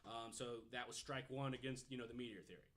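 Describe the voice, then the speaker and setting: A man's voice: medium pitch, fairly even intonation, quick pace, crowd noise. A man on a podcast panel telling a story casually, hedging often with "you know" as he explains.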